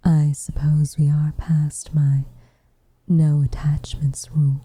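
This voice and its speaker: A woman playing a reserved, tenderly affectionate witch in a whispered ASMR roleplay, with soft breathy delivery and measured, formal phrasing. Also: deep voice, little pitch movement, no echo, exaggerated voice. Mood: guarded confession.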